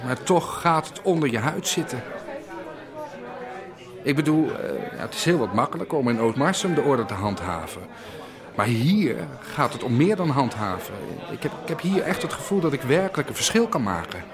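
A man's voice: medium pitch, very animated, crowd noise, lightly acted. An actor playing an earnest, awkward policeman in a Dutch radio drama, speaking hesitantly. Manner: hesitant, halting. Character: earnest, awkward male small-town policeman in the city.

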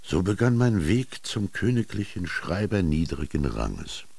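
Very deep, brooding ancient Egyptian scribe. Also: male voice, big pitch swings, creaky, darkish tone, slightly rough, no room sound, exaggerated voice. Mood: quiet, reflective. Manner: deliberate, declaiming, theatrical, swinging between murmur and proclamation. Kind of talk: literary radio drama monologue.

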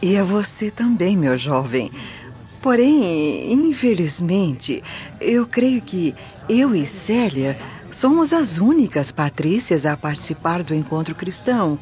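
Music runs under a muffled radio play. a dignified noble lady with slow, formal speech in a lowish, widely varying voice. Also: big pitch swings, mellow. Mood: guarded. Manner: formal, measured. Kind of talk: radio drama acting. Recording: dull lo-fi radio drama recording.

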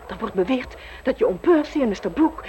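A woman's voice: on the high side, animated, brisk, mellow, dull audio, slight background noise, natural voice. An actress playing a gossipy, prim, scandalized upper-class mother in an old radio play. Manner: dramatic, prim, exclamatory.

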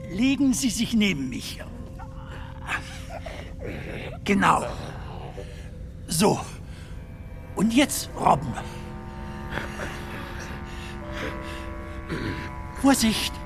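An actor playing a whimsical film comedian stranded in darkness in a German radio drama, musing and storytelling in a halting way. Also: male voice, high-pitched, very animated, vocal fry, full character voice. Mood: wry, coaxing. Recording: music behind the voice.

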